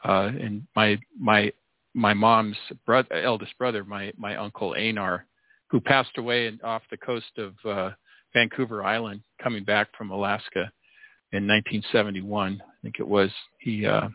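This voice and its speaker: Man in his sixties, interview guest, halting and rambling delivery, tinny phone line. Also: lowish voice, level tone, no room sound. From United States. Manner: rambling, many restarts and fillers. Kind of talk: casual interview chat.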